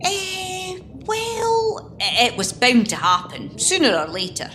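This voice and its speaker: Actress as an expressive, swooping fed-up Scottish woman. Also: very high voice, takes it slowly, a little hiss or hum, full character voice. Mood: curious, amused. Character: Mabel, fed-up kindly woman craving a day off. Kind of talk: amateur radio play acting.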